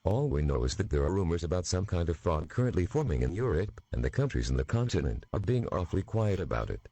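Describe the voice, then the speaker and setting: A man acting as a TV news anchor with a very low, husky voice. The scripted report is delivered in a presentational way with lively, swinging intonation. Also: fast, no echo, full character voice. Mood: serious, presentational. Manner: presentational, announcing. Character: male TV news anchor reporting ominous rumors. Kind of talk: scripted newscast.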